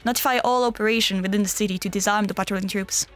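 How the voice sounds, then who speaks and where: A woman's voice: medium pitch, steady tone, very fast, natural voice. A woman acting a composed, authoritative field commander in a game fan dub, formal and businesslike. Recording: background music under the voice.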